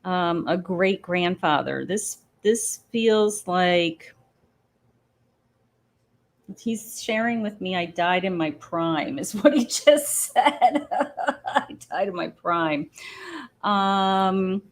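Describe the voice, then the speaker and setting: A woman hosting a live psychic reading from her patio, talking slowly with many pauses and fillers as she thinks aloud. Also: fairly low pitch, expressive. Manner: slow, hesitant, many ums, thinking aloud. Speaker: psychic medium host. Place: home patio.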